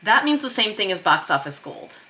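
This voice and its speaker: A woman's voice: mid-range voice, big pitch swings, moderate pace, sharp tone. A woman explaining craft informally in a vlog, thinking aloud in an emphatic, self-deprecating way. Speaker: screenwriter and director teaching script revision. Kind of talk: informal explainer vlog about script revision.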